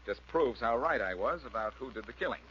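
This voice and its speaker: An actor playing a cocky, sardonic amateur detective in a vintage radio drama, on a dull, hissy old recording. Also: male voice, mid-range voice, lively intonation, fairly quick, a bit bright, lightly acted. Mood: confident, accusing. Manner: sardonic, taunting, self-assured. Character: cocky, wisecracking amateur detective, reformed rogue.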